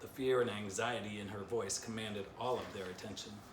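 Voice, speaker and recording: A man's voice: fairly low pitch, fairly even intonation, brisk. Middle-aged man, children's author, storybook narration, informal drawing instruction, roomy echo, background noise.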